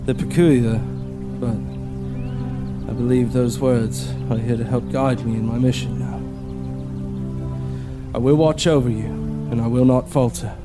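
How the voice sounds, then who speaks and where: Male actor as grief-stricken young man, audio drama, anguished, faltering slow delivery, music underneath. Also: medium pitch, fairly even intonation, natural voice. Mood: solemn. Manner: halting, anguished, pleading. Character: grief-stricken young man forced to kill possessed siblings.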